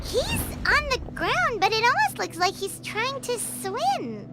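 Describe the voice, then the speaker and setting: A woman voicing a small, excitable fairy companion in a video game, squeaky and chirpy. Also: slow pace, heavy background noise, full character voice. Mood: puzzled. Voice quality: squeaky, childlike. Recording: music playing behind the voice.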